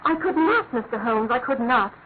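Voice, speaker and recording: Through a thin, phone-like sound with noise comes a highish voice of an actress as an aristocratic English wife. She pleads in formal, halting phrases, full of guilt and anguish, in classic radio drama fashion. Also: expressive, close to an everyday voice. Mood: anguished, pleading. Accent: English upper-class.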